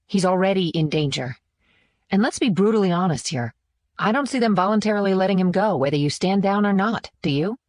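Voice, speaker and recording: A man's voice: high-pitched, expressive, a little fast, dry recording, clean studio sound, voice pushed up. A man voicing a determined female investigator who speaks earnestly and bluntly. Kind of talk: audiobook narration.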